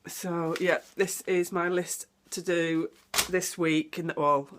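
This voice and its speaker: A woman's voice: on the low side, fairly even intonation, slow pace, noisy background. A young woman vlogging at home, chatty and rambling, sounding congested from a cold. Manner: chatty, rambling, self-deprecating. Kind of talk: casual home vlog. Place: at home, indoors. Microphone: phone or camera mic.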